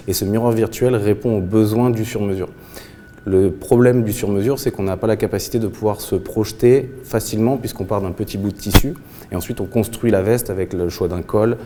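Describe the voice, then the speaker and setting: A man's voice: deep voice, mellow, a little hiss or hum. A man in his thirties from the Paris suburbs, a shop founder answering interview questions earnestly, with frequent restarts and fillers. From Paris suburbs, France. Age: 30s. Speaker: clothing brand founder.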